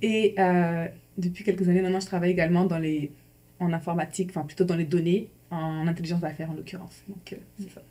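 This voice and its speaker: A woman's voice: on the low side, fairly even intonation, quick pace, warm tone. A woman in her thirties from Guinea, a podcast guest who speaks frankly. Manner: frank and candid. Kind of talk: casual podcast chat.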